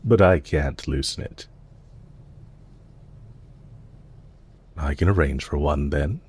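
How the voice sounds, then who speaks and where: Genteel villain captor for audio roleplay, played by a man: low, breathy voice, formal, solicitous, quietly in command. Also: exaggerated voice. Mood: politely firm. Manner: polite, measured, formal, softly commanding. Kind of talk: scripted roleplay audio drama.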